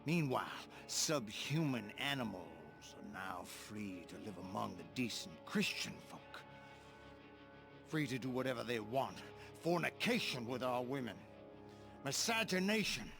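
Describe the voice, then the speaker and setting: A man's voice: medium pitch, expressive, unhurried, gravelly, over music. A man playing a vengeful Confederate colonel in a video game, grandiose and menacing as if preaching. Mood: righteous fury. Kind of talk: dramatic video game cutscene acting.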